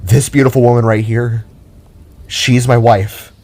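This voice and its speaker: Man playing an earnest, halting male spark. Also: mid-range voice, little pitch movement, a little mellow, close to an everyday voice. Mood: proud, heartfelt. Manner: halting, heartfelt. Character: earnest male blue spark, moved by reunion.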